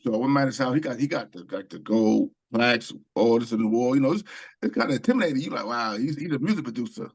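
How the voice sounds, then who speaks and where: A man's voice: mid-range voice, lively intonation, quick pace. A man from Queens, New York, telling stories as an interview guest, rambling with many ums and restarts. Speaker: author and screenwriter guest. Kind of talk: casual interview storytelling.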